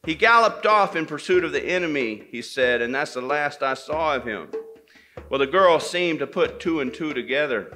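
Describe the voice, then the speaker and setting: A man's voice: on the high side, bright, forceful tone. A man telling a folktale live, acting out the dialogue as he goes. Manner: acts out dialogue. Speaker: storyteller at a men's gathering.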